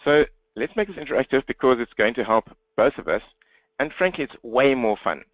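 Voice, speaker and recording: Man, South African accent, slightly croaky, energetic webinar presenter, dry close sound. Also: medium pitch, no room sound, lo-fi sound. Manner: energetic, talks fast, direct and upbeat. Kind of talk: interactive webinar training. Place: indoors at his computer, standing.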